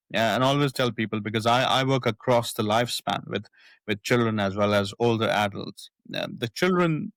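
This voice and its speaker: A middle-aged man with a Pakistani accent speaks reflectively as an interview guest, circling back and repeating words as he thinks. His voice sits at an ordinary pitch and sounds dry and close. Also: fairly bright tone, no echo. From northwest Pakistan. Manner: thoughtful, frequent repetitions and restarts. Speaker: psychiatrist guest.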